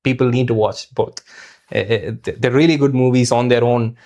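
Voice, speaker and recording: A man's voice: mid-range voice, normal speed, darkish tone. A man co-hosting a conversational film review, thinking aloud in a hesitant, thoughtful way with the odd mild swear word.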